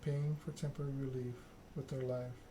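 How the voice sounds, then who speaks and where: Man reading as refined, even-toned vampire mentor lady. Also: mid-range voice, moderate pace, background noise, natural voice. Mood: grave, instructive. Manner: polished, courteous, instructive.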